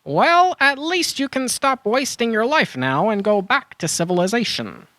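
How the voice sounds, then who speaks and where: A performer playing an eager animal adventurer in a children's audio drama, earnest and expressive. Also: mid-range voice, moderate pace, no room sound. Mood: surprised.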